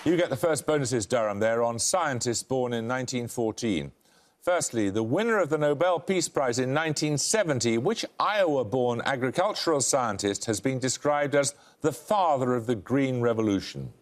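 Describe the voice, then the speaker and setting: A man's voice: medium pitch, animated, normal speed, some chatter behind. A man hosting a televised quiz, reading introductions and questions with a dry, wry delivery in a studio. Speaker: quiz show host. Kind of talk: scripted quiz presenting.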